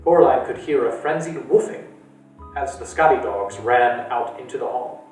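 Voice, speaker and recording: A man reading a novel aloud to children at a library storytime, in a steady storytelling way. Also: moderate pace, distant mic. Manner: steady storytelling with lively pitch. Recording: echoey room from afar with faint music.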